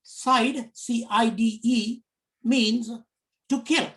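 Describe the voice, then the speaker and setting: A middle-aged man from Sri Lanka with a Sri Lankan accent, giving a prepared public talk in slow, deliberate phrases. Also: very high voice, fairly even intonation, fairly bright tone. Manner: slow, deliberate, measured phrasing in short pieces. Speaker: commentator.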